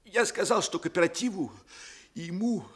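A man acting in a Soviet radio drama as a frightened, evasive Sicilian suspect, obsequious and stammering under interrogation, with a husky voice. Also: high voice, moderate pace, a bit bright. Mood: evasive, pleading.

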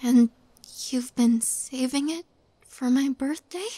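Woman acting a breathy, high-voiced shy young witch. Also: no room sound. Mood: awed, grateful. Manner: hesitant, halting, soft-spoken, often dropping to whispers. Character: shy, tender young witch girlfriend, tearful and devoted. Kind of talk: intimate girlfriend roleplay audio, soft and breathy.

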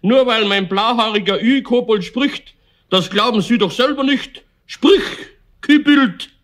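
A man playing a tipsy, playful, boastful gentleman in a children's radio play, speaking with theatrical mock formality. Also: very high voice, unhurried. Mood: incredulous, boastful.

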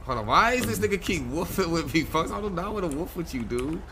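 A man's voice: on the high side, expressive, fast talker, over loud music. A man improvising street role-play in an online game, brash and slangy, repeating his phrases. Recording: noisy gaming mic, vehicle ambience.

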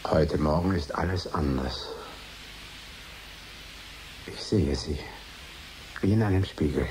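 An actor playing a troubled, withdrawn man in a radio drama, speaking a brooding, halting inner monologue. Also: rumbling low. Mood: haunted, withdrawn. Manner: halting, brooding, fragmentary phrases. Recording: faint hiss.

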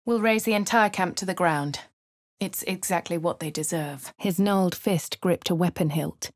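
A woman's voice: on the low side, normal speed, no room sound, studio quality, close to an everyday voice. A woman voicing a posh English adventurer heroine. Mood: composed. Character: posh young female adventurer hero. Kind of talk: game voice lines and narration.

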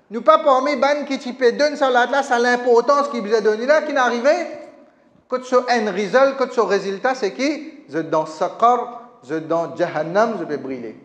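A man's voice: very high voice, fairly bright tone. A middle-aged man preaching a Friday sermon into a stand mic in a small mosque, speaking with a lilt and emphatic, repetitive phrasing. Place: small mosque prayer hall, indoors. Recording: echoey hall with long reverb.

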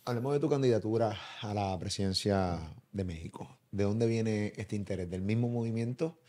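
Male podcast host, 40s, slightly low pitch. Casual interviewer, careful and halting, bluntly slangy. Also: dark tone. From Puerto Rico. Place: small indoor room by a kitchen. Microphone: podcast microphone on boom arm.

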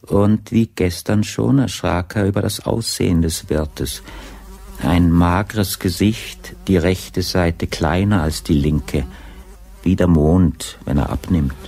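An actor narrating a crime radio drama as an unhurried storyteller, measured and deliberate in his literary delivery. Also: low voice, gravelly, warm tone, exaggerated voice.

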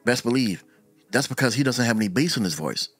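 A man's voice: medium pitch, brisk, breathy edge, dry recording, faint music behind. A middle-aged man, an audio engineer, talking casually to camera about recording vocals, repeating words as he goes. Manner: relaxed, talks with his hands, repeats words as he goes. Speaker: audio engineer and channel host. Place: small room at home. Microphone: desk mic on boom arm.

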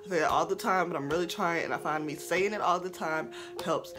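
A woman's voice: on the low side, a little fast, over music. A young woman with a cold, chatting casually through a makeup tutorial in her bedroom. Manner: chatty, upbeat, talks to viewers as friends. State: stuffy, sick with a cold. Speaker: beauty vlogger. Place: bedroom, indoors. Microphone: camera facing her at close range.

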